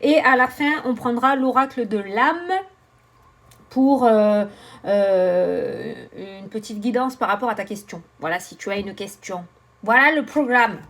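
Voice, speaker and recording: Chatty woman, high voice. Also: unhurried. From southern France. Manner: animated, swearing, laughing, self-mocking. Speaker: tarot card reader. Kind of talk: casual chatty vlog.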